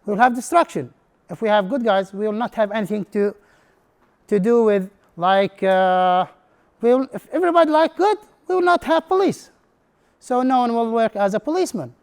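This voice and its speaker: A man's voice: high-pitched, expressive, normal speed, vocal fry, a little mellow, clean tone. A man whose first language is Arabic, giving a presentation as an instructor in non-native English and putting questions to the audience in a room. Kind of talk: prepared talk to an audience.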